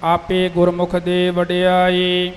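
High, flat, very slow chanting man. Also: long reverb tail. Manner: measured, sing-song chanting. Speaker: scripture reciter. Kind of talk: slow chanted scripture recitation.

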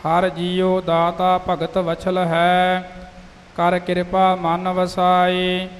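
A man reciting scripture in a slow, measured, sing-song chant. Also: high voice, completely flat delivery, in a large hall.

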